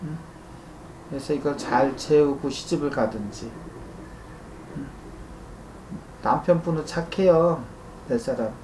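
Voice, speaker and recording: A man's voice: a little high, unhurried, pauses often, darkish tone. A man in his thirties speaks as a fortune-teller giving informal counsel. He is blunt and admonishing, checking in with short grunted questions. The room rings a little, with faint noise underneath. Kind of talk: spoken consultation, informal advice. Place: small indoor consultation room. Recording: roomy echo, faint background noise.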